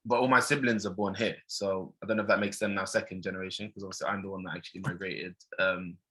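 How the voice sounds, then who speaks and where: A man's voice: sits low, fairly even intonation, fast, fairly bright tone. A man hosting a podcast, chatting casually and sharing relatable stories, originally from Pakistan. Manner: conversational, relatable anecdotes. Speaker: podcast host.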